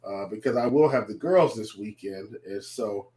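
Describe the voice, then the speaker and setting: A man with a stuffy, congested voice from a cold, giving casual sports analysis with halting restarts. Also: fairly low pitch, mellow, low-quality recording. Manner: halting, many restarts and fillers.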